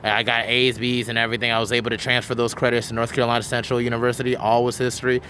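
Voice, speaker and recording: A man's voice: mid-range voice, monotone, racing, bright, forceful tone, slight background noise. A man in his twenties hosting a podcast, chatting casually and telling his own story in an earnest, talkative way. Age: 20s. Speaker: podcast host. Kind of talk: casual interview chat.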